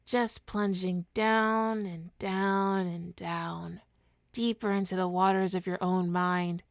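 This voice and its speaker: Woman acting a smug seductive supervillain lady, really slow hypnotic asmr talk, smooth voice, teasing. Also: lowish voice, little pitch movement, dry recording, studio quality. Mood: soothing, hypnotic. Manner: coaxing, teasing, deliberate. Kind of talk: ASMR roleplay, hypnotic monologue.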